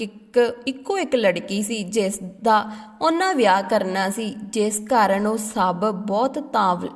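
A woman reads a moral-tale style story aloud as a storyteller, now and then stumbling over and repeating words. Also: medium pitch. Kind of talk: scripted story narration, read aloud. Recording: long echoing reverb on the voice.

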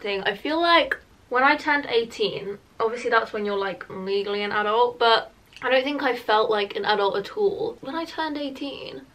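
Young british girl vlogging at home, bright voice a bit on the high side, super chatty. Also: moderate pace. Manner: informal and chatty, heavy use of "like". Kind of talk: casual get-ready-with-me chat to camera. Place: small room at home, indoors. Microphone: camera a metre or so away.

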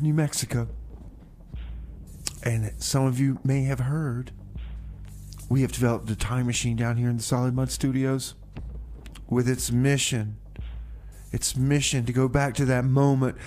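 A man's voice: medium pitch, a little mellow, a little hiss or hum. A man hosting a community radio music show, rambling and stammering through his stories. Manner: rambling, stammering, repeats himself. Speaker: radio DJ host. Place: radio studio.

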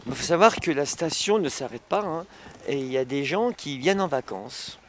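Halting middle-aged ski instructor, outdoors. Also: male voice, fairly high pitch, animated, fairly bright tone, slight background noise. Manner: halting, frequent "hein" and "évidemment" tags. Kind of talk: casual interview answers. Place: outdoors on a snowy ski slope. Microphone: handheld mic.

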